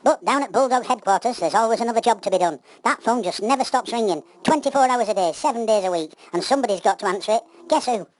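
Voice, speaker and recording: A woman's voice: a little high, expressive, fast, few pauses, low-quality recording. A woman telling a homely children's pantomime story.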